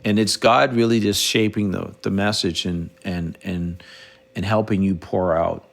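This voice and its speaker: A middle-aged man from the Bahamas speaks in a low, gravelly voice. He hosts a solo podcast and reflects earnestly, often stopping and restarting his sentences. His voice has a rough edge of vocal fry throughout. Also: fairly even intonation. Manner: earnest, halting with frequent restarts and fillers. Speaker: podcast host.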